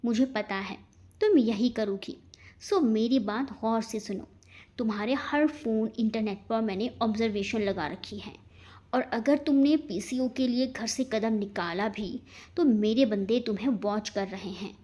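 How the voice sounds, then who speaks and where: A woman voicing a cool, controlled young man who speaks in measured threats in a romantic thriller story. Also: on the high side, warm tone, highs cut off. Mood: threatening.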